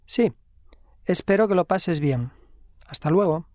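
A man's voice: sits high, animated, darkish tone, dry recording, studio quality. A friendly, helpful young man. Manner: clear, deliberate friendly delivery. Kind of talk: slow scripted dialogue for learners.

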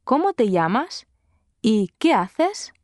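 An actress playing a cheerful, sociable young woman in a slow, scripted dialogue for language learners. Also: a little high, big pitch swings, darkish tone, dry recording, studio quality.

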